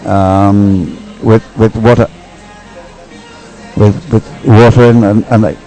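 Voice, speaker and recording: A man's voice: lowish voice, little pitch movement, slow pace, warm tone, faint music behind, low-quality recording. A man giving unscripted live race commentary in a rambling, hesitant way, often thinking aloud. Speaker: sports commentator.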